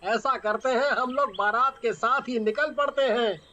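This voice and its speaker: A man voicing an excitable young traveller in a cartoon story, with exclamatory delivery. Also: very high-pitched, normal speed. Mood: puzzled. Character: excitable young male friends on a night journey.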